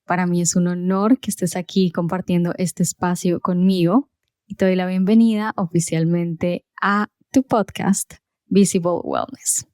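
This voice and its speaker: A woman in her thirties speaks with a voice pitched a little low for a woman. She tells her own story as a podcast host, earnest and conversational, with small fillers here and there. The sound is close and dry, with no room around it. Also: steady tone, a little slow, a little mellow, clear, resonant tone, dry recording. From Colombia. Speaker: podcast host and health coach. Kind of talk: personal storytelling monologue.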